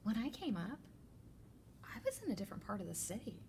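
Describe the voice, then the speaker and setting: A woman reading a children's picture book aloud as a teacher librarian, with deliberate, expressive storytelling. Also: mid-range voice, gravelly, slight background noise.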